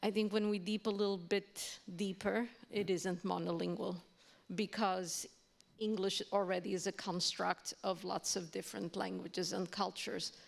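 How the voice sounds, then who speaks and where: A panelist woman whose first language is Portuguese talks in a flat, even tone with a gravelly fry. She thinks aloud in a reflective way, pausing to search for words. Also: medium pitch. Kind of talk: panel discussion.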